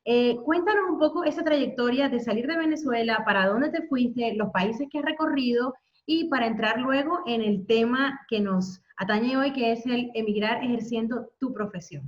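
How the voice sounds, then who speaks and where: A woman's voice: a little high, moderate pace, few pauses. A woman hosting an interview, asking friendly, enthusiastic questions. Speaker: host. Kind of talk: conversational interview. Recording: dull lo-fi video call audio.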